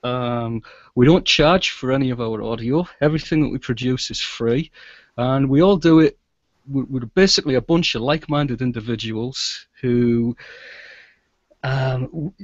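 A man's voice: mid-range voice, normal speed, no echo. Middle-aged male interview guest, conversational and chatty, dry but slightly muffled online-call audio. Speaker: guest, audio drama producer and sound engineer. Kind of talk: casual interview talk. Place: small indoor room with shelves, video call.

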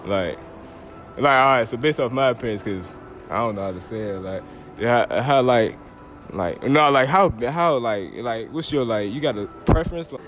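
A man's voice: medium pitch, animated, normal speed, fairly bright tone, faint music behind. A nineteen-year-old man vlogging in a shopping mall, laughing and joking in casual slang as he asks strangers questions on a handheld camera. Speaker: vlogger asking prank questions. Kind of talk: casual street vlog.

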